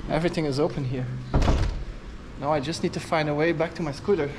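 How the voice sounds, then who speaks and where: A man's voice: fairly high pitch, steady tone, fast, background noise. A man in his thirties from Belgium, chatting casually as a travel vlogger while walking around, laughing often. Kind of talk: casual vlog narration. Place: outdoor stadium and its corridors. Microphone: action camera held at arm's length.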